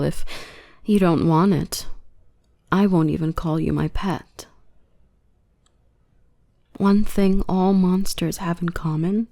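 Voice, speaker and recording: A woman plays a gentle dark elf woman in an intimate ASMR roleplay. Her voice is lowish and soft, with a touch of gravelly fry, often dropping into breathy whispers. She speaks very slowly, soothing and tenderly possessive.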